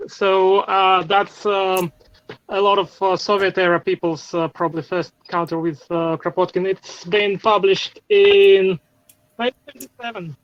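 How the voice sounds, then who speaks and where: A man with a notably high voice speaks as a panelist over a video call. He halts often, filling gaps with "uh" and "um" between words. The audio is dull and lo-fi. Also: steady tone, clean tone. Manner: halting, heavy fillers between words. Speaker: conference panelist. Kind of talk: casual academic discussion.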